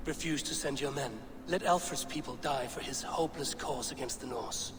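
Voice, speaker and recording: An actor playing a confident Norse warrior in a video game, blunt and plain-spoken. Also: male voice, medium pitch, normal speed, noisy background, close to an everyday voice. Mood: blunt, cool. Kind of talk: dramatic video game acting. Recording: long hall reverb, faint music.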